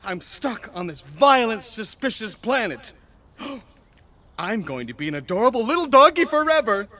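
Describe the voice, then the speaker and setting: A man playing an excitable alien disguised as a little dog, theatrical and exclamatory, in a sci-fi audio drama. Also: sits high, a little slow, heavily acted. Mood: despairing. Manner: theatrical, exclamatory, arguing with himself.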